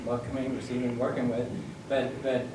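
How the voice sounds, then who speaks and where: A man's voice: medium pitch, flat delivery, moderate pace, far from the mic. A man in the audience asking a long question, hesitant and searching for words. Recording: roomy audio from away from the mic, with noise.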